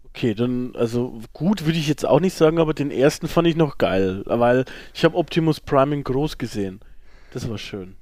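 A man's voice: mid-range voice, lively intonation, moderate pace, a little mellow, no room sound, clean studio sound. A man co-hosting a podcast in informal chat, speaking in short bursts with joking asides. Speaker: podcast co-host.

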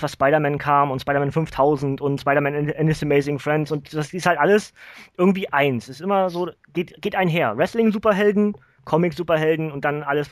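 Higher-pitched man, rambling podcast talk. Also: no echo. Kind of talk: casual chat.

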